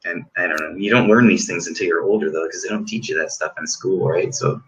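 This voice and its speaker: A man with a deep voice talks in a loose, rambling way, full of fillers and false starts. His tone is bright and forward. He sounds like a musician guest in a casual chat. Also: quick pace, rough recording. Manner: rambling, many likes and restarts.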